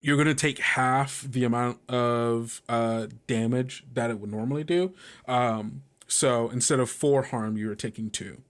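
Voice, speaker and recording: A man narrating a tabletop game as game master, improvising vivid descriptions in halting, pieced-together phrases. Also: medium pitch, fairly even intonation.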